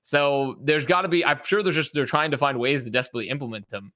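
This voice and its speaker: A man on a podcast panel in casual esports discussion, halting and often interrupting himself as he works through his point. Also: mid-range voice, crowd noise.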